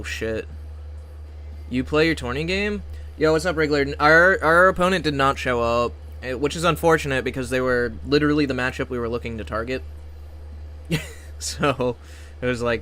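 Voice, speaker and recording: A young man streaming a card game and talking to his chat in a loose, offhand way, his voice sitting at an ordinary middle pitch. He drifts into low mutters between plainer remarks and drops in the odd curse word. A faint hiss runs underneath. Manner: relaxed, mumbling asides, swearing. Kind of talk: casual livestream chat.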